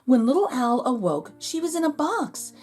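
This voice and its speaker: A woman reading a children's picture book aloud in an expressive storytelling manner. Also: faint music behind. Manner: slow, expressive storytelling with lively pitch.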